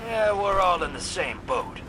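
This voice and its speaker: Streetwise rogue, lively swooping delivery. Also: male voice, high voice, moderate pace, noisy background. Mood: sly, teasing. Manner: folksy slang, clipped, teasing drawl. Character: shady, streetwise, sardonic male drifter rogue. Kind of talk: video game voice acting.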